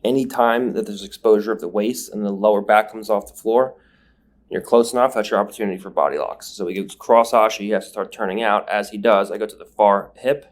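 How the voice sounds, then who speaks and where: Low-voiced man, sniffly instructional commentary. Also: fairly quick. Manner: explanatory, step-by-step breakdown. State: numbed nose, sniffling. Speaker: grappling instructor. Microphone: voice-over recorded separately.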